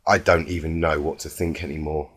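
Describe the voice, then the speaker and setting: A man's voice: very deep voice, flat delivery, brisk, slightly rough, pitched below the performer's own voice. A man voicing an anxious, bewildered young female cartoon unicorn who speaks hesitantly. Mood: bewildered, upset. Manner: hesitant, repeating words in distress.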